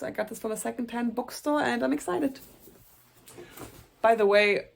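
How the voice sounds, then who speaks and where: A young woman with a German accent, chatting earnestly to camera about books she plans to read, with a few soft, self-conscious laughs. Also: medium pitch, creaky. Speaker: booktuber. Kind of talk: casual book talk to camera.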